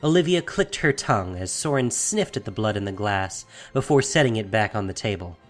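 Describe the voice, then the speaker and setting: Low-voiced male dramatic story narrator. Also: expressive, brisk, soft background music. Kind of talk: scripted dramatic fantasy narration.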